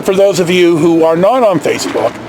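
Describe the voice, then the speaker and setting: A man's voice: sits high, a little fast, background noise. A middle-aged man with an American accent telling personal stories in a casual, rambling, salty way, recorded indoors on a camera at arm's length. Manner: salty, sweary, animated reminiscing. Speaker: storyteller, vlog host. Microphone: camera held at arm's length.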